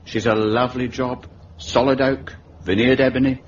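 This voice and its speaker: An actor playing a wily middle-aged London undertaker with a Cockney accent, ingratiating, wordy and deferential, in a radio detective drama. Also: male voice, medium pitch, level tone, normal speed, lightly acted. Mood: sly, confiding. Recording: muffled, hissy radio recording, distant mic.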